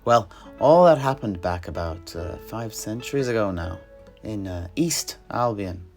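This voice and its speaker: A man acts as an earthy old-world alchemist and druid in a fantasy audio drama. His pitch swings freely as he rambles along in folksy, rustic turns of phrase. Plucked guitar music plays behind him. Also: lively intonation, measured pace, a little mellow. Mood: storytelling. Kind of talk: in-character fantasy audio roleplay.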